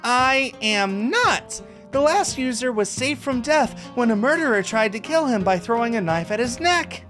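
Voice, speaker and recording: A cheerful female peddler in a fantasy visual novel, played by a man. The voice is pitched high and swings around with excitement. She is flirty, teasing and theatrical, gushing like a keen saleswoman. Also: moderate pace, faint music behind. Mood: excited sales patter. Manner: gushing, teasing, excited sing-song delivery. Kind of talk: visual novel character read-aloud.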